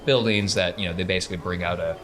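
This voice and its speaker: A man's voice: deep voice, steady tone, very fast, a bit bright, slight background noise. A man narrating a tabletop game as game master in a casual, improvised, wry conversational way.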